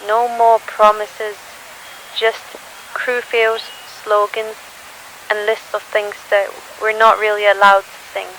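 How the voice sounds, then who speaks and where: Slow, halting woman as a weary teenage survivor. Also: mid-range voice, monotone, a bit bright, low-quality recording. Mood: sorrowful, reflective. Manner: halting, solemn, reflective. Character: weary, sorrowful teenager hiding in post-apocalyptic ruins. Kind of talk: scripted audio diary monologue. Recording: hissy recording with faint noise.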